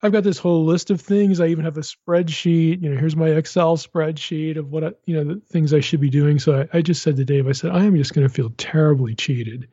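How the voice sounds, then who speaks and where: A man with a husky, mellow voice, tired and low on energy, talking casually from his desk. He rambles, restarts his sentences and fills them with "you know." The recording is dull and lo-fi, with a dry sound. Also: fairly even intonation, fairly quick, hardly any pauses, no echo. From Pennsylvania. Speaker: podcast host. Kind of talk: casual podcast chat. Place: sitting at a home desk.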